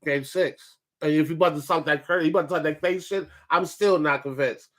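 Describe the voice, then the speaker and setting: A man's voice: fairly high pitch, brisk, fairly bright tone. A man with a light voice hosting a casual sports podcast, talking loosely and profanely over his co-hosts. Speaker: podcast host.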